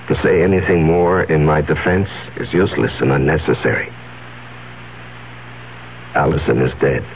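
Radio drama, muffled hissy seventies sound: condemned man, weary, solemn, bottom-of-the-range gravelly voice. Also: a bass voice, full character voice. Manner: halting, solemn. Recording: muffled, hissy 1970s radio recording with hum.

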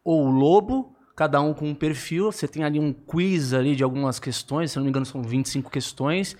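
Upbeat higher-voiced male podcast host. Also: fairly quick, darkish tone. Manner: upbeat, promotional, informal. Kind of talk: casual podcast chat.

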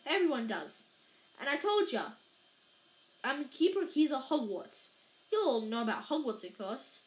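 Here is a woman acting out a huge male giant from a storybook, gruff and quick to anger. He booms and growls in rustic, emphatic phrases. The voice sits fairly high and rings in a very echoey room. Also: big pitch swings. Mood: proud. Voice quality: booming, growling. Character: gruff, huge, hot-tempered man giant. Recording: echoey, roomy sound.

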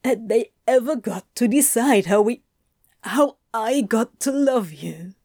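A woman's voice: on the high side, lively intonation, slow pace, warm tone, dry recording, studio quality, full character voice. A woman voicing an anxious, guilt-ridden male angel who is near tears and stammers, repeating his words. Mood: tearful. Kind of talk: audiobook fan fiction reading.

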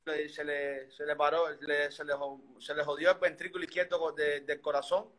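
A man's voice: on the high side, level tone, normal speed, fairly bright tone. A Cuban man in his thirties, a guest earnestly telling a personal story with many restarts. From Cuba. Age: 30s. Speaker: interview guest.

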